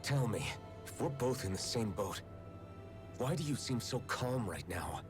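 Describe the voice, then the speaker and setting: A brooding, low-voiced young captive. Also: male voice, very animated, a little slow, over music. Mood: guarded. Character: young male captive, bitter, guarded, wary rebel. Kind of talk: video game cutscene voice acting.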